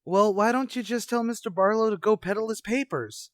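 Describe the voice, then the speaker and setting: An actor playing an eager, excitable teenage schoolboy in a radio comedy, with a breezy, brash, slangy manner. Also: male voice, high voice, a little fast, no room sound, clean studio sound, natural voice. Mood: scheming, brash. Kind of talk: old-time radio comedy acting.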